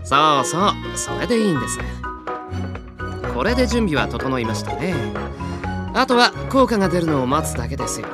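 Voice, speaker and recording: A man's voice: on the high side, big pitch swings, brisk. A man voicing a mischievous, teasing young fairy in an audio drama, speaking courteously with sly mockery. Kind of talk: animated Japanese audio drama acting. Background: music and animal sounds.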